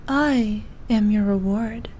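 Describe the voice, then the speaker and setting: A woman playing a regal, possessive evil queen who dotes on her knight in an intimate ASMR roleplay, speaking formally and softly, at times in breathy whispers. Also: medium pitch, a little hiss or hum, lightly acted. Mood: teasing, fond. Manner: regal, slow, archaic formal speech.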